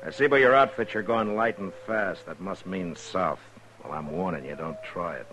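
An actor playing a stern, steady American frontier lawman in a 1950s radio western, with a husky voice. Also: deep voice, normal speed, slight background noise, poor audio. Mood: stern warning.

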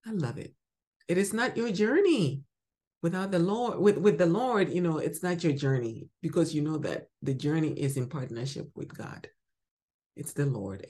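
Woman from ghana preaching on her podcast, low voice but jumps all over, loud then hushed. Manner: emphatic, swinging from bright exclamations to soft murmurs. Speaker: devotional podcast host. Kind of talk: spoken devotional teaching.

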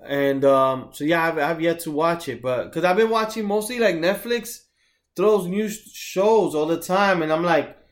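A man's voice: a little high, expressive, moderate pace. A man in his thirties co-hosting a podcast, telling laid-back stories in casual chat and swearing now and then. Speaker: podcast co-host.

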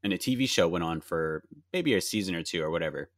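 Low-voiced man in his late twenties, casual podcast co-host, long explanations, dry close sound. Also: animated, fast talker, a bit bright, no echo. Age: 20s. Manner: explains at length, reacts to a movie while talking.

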